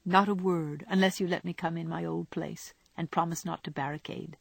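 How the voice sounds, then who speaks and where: A woman's voice: on the low side, steady tone, moderate pace, creaky, no room sound. A woman voicing a mischievous, boyish young married man in a storybook, with playful mock dignity.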